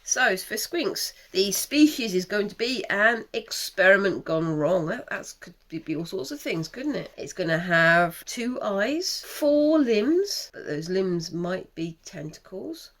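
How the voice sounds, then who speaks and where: A woman in her thirties with a croaky, failing voice, hosting a casual crafting vlog with her young daughter in a chatty, wry way. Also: mid-range voice, lively intonation, measured pace, hardly any pauses. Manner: chatty, self-mocking, wry. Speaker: channel host, mother.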